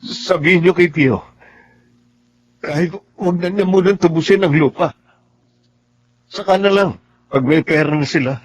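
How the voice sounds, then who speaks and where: Casting: Filipino male office employee, higher-pitched voice, emotional radio-drama delivery. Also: normal speed, pauses often, husky, highs cut off. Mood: remorseful. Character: boastful male office worker, later shaken and remorseful.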